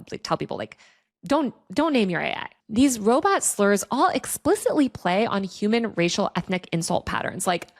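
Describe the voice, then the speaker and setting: Female host, mid-range voice, opinionated and conversational video commentary. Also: medium pitch. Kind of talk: video essay commentary on online culture.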